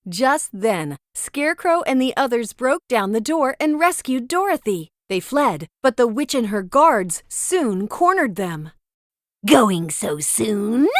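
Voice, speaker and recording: A woman's voice: on the high side, big pitch swings, unhurried, few pauses, no room sound, studio quality. A woman narrating a children's storybook as a scripted read-aloud.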